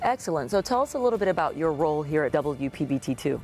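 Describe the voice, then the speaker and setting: A woman's voice: low-pitched, quick pace, faint music behind. A middle-aged woman hosting an arts program, speaking in a polished, scripted presenter style from a studio on a lapel mic. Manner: lively, polished presenter delivery. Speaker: TV arts show host. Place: dark TV studio.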